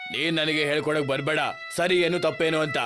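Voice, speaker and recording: A man playing a scornful, dismissive village landlord in an animated moral story. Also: fairly high pitch, fairly quick, over music, lightly acted. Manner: dismissive, curt.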